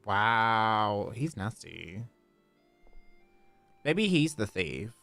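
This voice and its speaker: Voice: mid-range voice, big pitch swings, extremely slow, vocal fry, a bit bright, dry recording. A live streamer giving casual, exclamatory commentary while playing a game. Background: game music.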